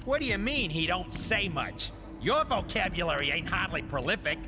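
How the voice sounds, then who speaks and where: An actor voicing a brash, sarcastic space raccoon in a game, slangy and wisecracking. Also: male voice, sits high, expressive, moderate pace, in a large hall, over music.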